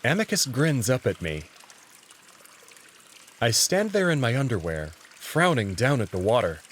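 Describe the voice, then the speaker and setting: A man reading scripted, expressive first-person narration as the narrator of a visual novel. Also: medium pitch, unhurried, slight background noise.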